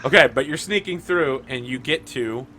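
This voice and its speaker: A man's voice: mid-range voice, normal speed, sharp tone, slight background noise. A man improvising casual narration as a player in a tabletop roleplaying game.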